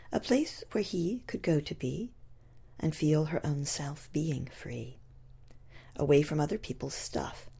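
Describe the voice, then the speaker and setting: A woman poet reciting her own rhyming verse in a husky, breathy voice, with expressive phrasing that dips into whispery tones. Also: lowish voice.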